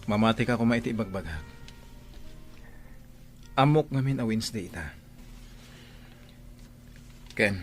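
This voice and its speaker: A Filipino man with an Ilocano accent playing a besotted, suspicious husband in an audio drama, earnest and conversational. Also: lowish voice. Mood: affectionate. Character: besotted, suspicious husband straying from his wife. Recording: some background noise.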